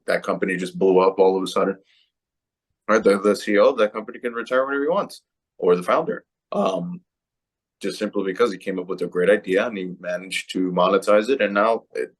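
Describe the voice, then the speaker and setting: Male co-host, very low voice, loose conversational delivery. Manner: conversational, rambling, tag questions. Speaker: podcast co-host. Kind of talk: casual podcast chat.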